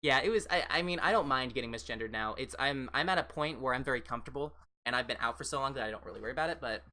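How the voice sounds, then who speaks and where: A woman with an American accent and a fairly deep voice, chatting casually as a livestream host and replying to viewers in a rambling, reassuring way. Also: very fast, pushed and bright. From United States.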